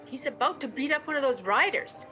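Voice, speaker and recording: Woman playing Chester, high, lively western sidekick. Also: brisk, highs cut off. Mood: urgent. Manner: folksy, excitable. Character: folksy, eager, gossipy marshal's sidekick Chester. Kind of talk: amateur western radio play acting. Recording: student radio play with music behind.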